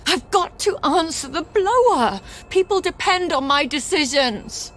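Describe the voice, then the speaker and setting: A woman's voice: high voice, lively intonation, measured pace, fairly bright tone, slight background noise. An actress playing a desperate, imperious older woman in a video game, genteel and unhinged. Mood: haughty.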